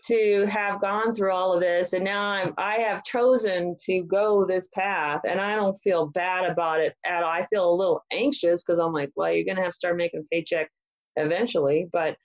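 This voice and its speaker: A woman's voice: fairly low pitch, steady tone, normal speed. Chatty fifty-year-old woman, lo-fi sound. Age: 50s. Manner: chatty, self-deprecating, laughs often. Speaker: guest, former ship captain. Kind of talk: casual interview chat.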